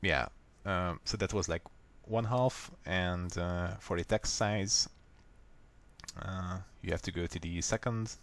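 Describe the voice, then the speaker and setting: A man with a Hungarian accent, a native Hungarian speaker, calmly walking viewers step by step through a software fix in a screen recording. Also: low-pitched. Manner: unhurried, plain, step-by-step explaining. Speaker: software tutorial maker. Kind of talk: screen-recorded how-to walkthrough.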